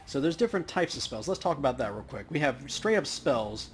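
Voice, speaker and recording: Guy doing casual lets play commentary, average pitch, little bit of noise in the background. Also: sing-song, brisk. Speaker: let's play host.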